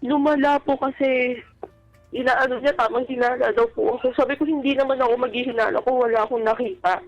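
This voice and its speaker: A woman with a very high voice phones in to a radio show to share her own story. She speaks politely and haltingly, with earnest delivery. The line is dull and lo-fi, with music playing clearly underneath. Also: steady tone, dry recording. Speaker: caller to a radio advice show. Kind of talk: personal storytelling in a radio call. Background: background music.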